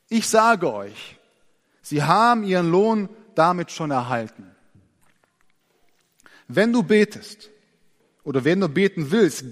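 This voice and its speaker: A man preaching a sermon, earnest and expressive, slowing down and dropping to a soft voice to stress his points. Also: on the high side. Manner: earnest, expressive, slows down and drops soft for emphasis. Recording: long church reverb.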